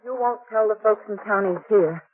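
A woman's voice: medium pitch, normal speed, noisy background, close to an everyday voice. Actress as curt, guarded frontier woman, old radio. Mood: anxious, defensive. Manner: curt, defensive, folksy. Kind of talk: 1950s radio western acting. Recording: dull, dry old 1950s radio recording.

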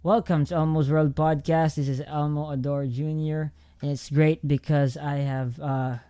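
A man hosting a podcast, asking questions in a halting, friendly way. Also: a little high, level tone, mellow, faint music behind. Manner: halting, many fillers and restarts, friendly. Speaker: podcast host. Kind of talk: casual interview chat.

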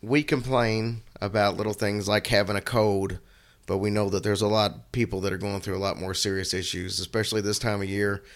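A man co-hosting a podcast, hoarse and raspy from a cold, talking in an earnest, rambling way. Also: fairly low pitch, flat delivery, a little fast. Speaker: podcast co-host. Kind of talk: casual chat.

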